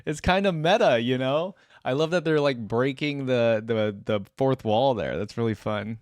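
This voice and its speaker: A man's voice: mid-range voice, moderate pace, no echo, studio quality. A young adult man giving casual, off-the-cuff reaction commentary in an amused way. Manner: amused, easily tickled. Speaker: reaction video host.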